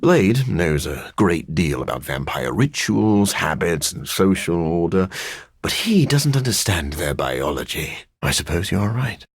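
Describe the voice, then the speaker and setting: A man voicing a brooding, dry-witted vampire scientist in a video game, speaking formally with a gravelly, husky voice and a melancholy edge. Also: sits low, very animated, unhurried, dry recording, full character voice. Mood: dry, learned. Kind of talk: video game character voice lines.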